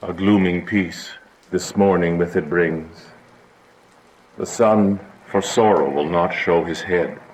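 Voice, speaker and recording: A fairly low-pitched prince played by a man passes judgment with stern authority. His Shakespearean radio-drama delivery is formal and declamatory, set in an echoey space with faint noise. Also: slow pace. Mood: somber. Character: stern commanding prince judging feuding families. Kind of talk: Shakespearean audio drama acting. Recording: echoey room, audible background hiss.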